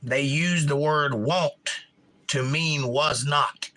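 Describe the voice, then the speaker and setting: A middle-aged man with a Georgia accent, hosting a casual live chat and reading out viewer comments, enunciating crisply in an animated, opinionated way. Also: a little high, takes it slowly, a little hiss or hum. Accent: Georgia, US South. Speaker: livestream host.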